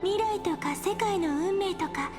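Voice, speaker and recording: A woman playing a gentle, resolute and wistful young heroine in an anime game. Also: very high voice, fairly quick. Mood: tender, wistful. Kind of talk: Japanese anime game voice acting. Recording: long reverb, music under the voice. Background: game music.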